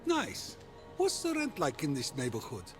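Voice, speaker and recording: A man's voice: on the high side, huge pitch swings, normal speed. An actor playing a boastful, wisecracking male god in a comedic video game, sarcastic and theatrical, with music behind him.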